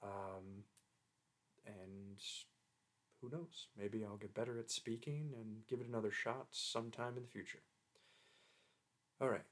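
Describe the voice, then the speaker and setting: A man's voice: on the low side, steady tone, unhurried, pauses often. A man who does voice acting, talking to his listeners in a casual, modest, rambling and hesitant way. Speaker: voice actor, channel creator.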